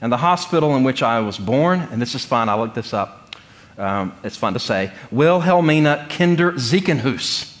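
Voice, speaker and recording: A middle-aged male pastor gives a sermon full of personal stories. His pitch moves widely and expressively, and he talks conversationally, with humor and deliberate pauses. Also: expressive. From North Carolina, United States. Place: church sanctuary, speaking from the pulpit.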